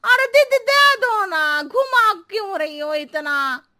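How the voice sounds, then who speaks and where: A woman's voice: very high-pitched, sing-song, takes it slowly, sharp tone, exaggerated voice. A woman playing a cheeky, whiny, scatterbrained housemaid who talks in circles in a comic cartoon story. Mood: pleading, whiny. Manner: roundabout, riddling, pleading. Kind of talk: comic animated story dialogue.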